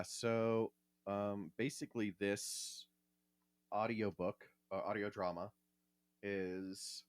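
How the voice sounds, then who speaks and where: A man's voice: deep voice, smooth, no room sound. A man co-hosting a podcast, explaining things in a casual, conversational way. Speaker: podcast co-host.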